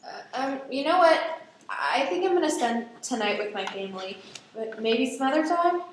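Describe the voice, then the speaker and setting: In a very echoey room, a young woman plays an earnest student big sister. Her voice is fairly high, with a dry wit, in amateur radio drama style. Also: lively intonation, normal speed, a little hiss or hum. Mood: awkward, dry. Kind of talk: amateur radio play acting.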